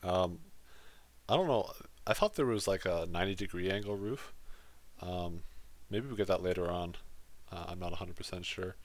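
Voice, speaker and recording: A man hosting a gaming channel, talking through his let's play footage in a casual, rambling monotone. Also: deep voice, normal speed, long pauses. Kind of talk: let's play commentary voice-over.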